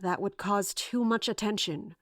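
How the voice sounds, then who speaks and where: A woman's voice: medium pitch, a bit bright, no room sound, clean studio sound, natural voice. A woman playing a resolute, guarded guard captain, speaking formally and narrating in a fantasy audio drama. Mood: firm, worried. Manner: formal, measured, commanding.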